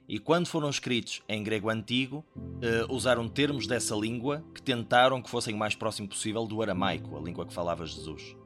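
A man's voice: fairly low pitch, fairly bright tone. A man with a European Portuguese accent, hosting a podcast and explaining a Bible passage in a conversational teaching tone, speaking directly to the listener. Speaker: podcast host explaining scripture. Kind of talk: reflective teaching talk.

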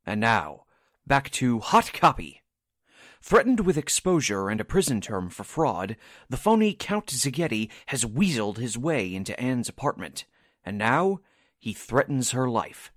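Animated male radio drama announcer, dry sound. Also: medium pitch, a little slow, no echo. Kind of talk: scripted 1940s radio drama narration.

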